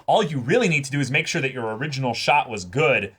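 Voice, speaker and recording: A man with a very bright, mid-pitched voice talks as a reaction show co-host. He thinks through theories at length in a casual way, piling up clauses and halting as he goes. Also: expressive, husky. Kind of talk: casual analytical discussion.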